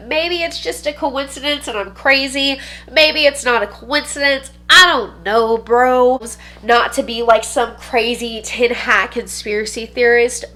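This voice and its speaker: Congested, bright-voiced woman vlogger. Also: fairly high pitch. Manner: blunt, profane and emphatic; slangy, says "bro" and "dude". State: congested, stuffy nose. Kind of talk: casual monologue to camera.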